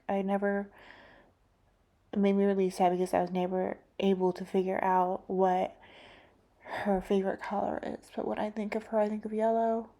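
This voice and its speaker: A woman painter giving a soft, halting, reflective talk about her painting, full of fillers and drifting into whispers. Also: medium pitch.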